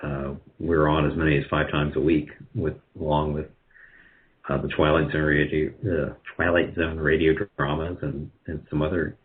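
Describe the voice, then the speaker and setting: A man giving casual interview answers in a halting, self-deprecating way over a phone-like connection. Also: very deep voice, level tone. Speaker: guest, radio drama producer. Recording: tinny phone-like line.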